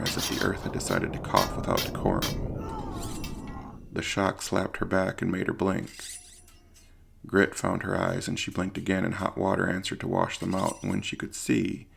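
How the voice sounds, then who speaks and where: A man with a deep, husky, gravelly voice narrating an audio drama in a grave, literary storytelling manner.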